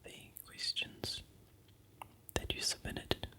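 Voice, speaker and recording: A vlogger from New Zealand with a New Zealand accent, softly narrating a travel slideshow in a steady, unhurried whisper. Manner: soft, unhurried whisper throughout.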